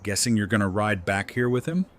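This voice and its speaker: A man's voice: fairly low pitch, expressive, fairly quick, lightly acted. A man acting a considerate, practical survivor in an audio drama, speaking casually. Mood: helpful. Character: helpful, considerate male survivor.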